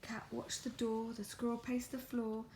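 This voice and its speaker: A woman reading a picture book softly in an ASMR storytime style, often slipping into a whisper and cooing to a pet. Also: on the high side, flat delivery, normal speed. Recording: faint background noise.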